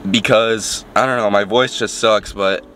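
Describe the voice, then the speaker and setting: A man's voice: on the low side, steady tone, measured pace, a bit bright, slight background noise. A young man with a nasal, congested voice, rambling casually to a handheld camera from inside his car, full of fillers and restarts. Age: young adult. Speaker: fitness vlogger. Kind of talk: casual vlog talk to camera. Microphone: camera held at arm's length.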